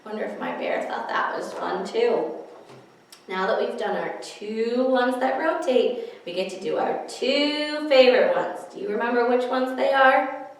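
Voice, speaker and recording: A woman's voice: on the high side, expressive, a little slow. A woman leading a story time for young children as a librarian, explaining rhymes simply in an encouraging, sing-song way. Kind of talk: nursery rhyme instruction for young children, with singing. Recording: echoey room, distant microphone.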